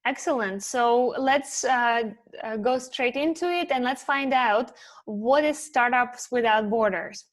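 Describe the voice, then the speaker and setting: Higher-pitched woman hosting an interview. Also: a little slow. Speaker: interview host. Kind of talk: interview hosting, scripted intro then conversational questions.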